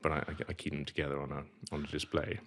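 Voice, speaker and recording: A man's voice: extremely low pitch, completely flat delivery, rapid-fire, fairly bright tone, a little hiss or hum. A man in his forties from Zimbabwe with a clipped, posh-sounding English accent, a guest in a casual podcast interview who talks in a thoughtful, articulate way with dry, self-deprecating humor. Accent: clipped, posh-sounding English with Zimbabwean roots.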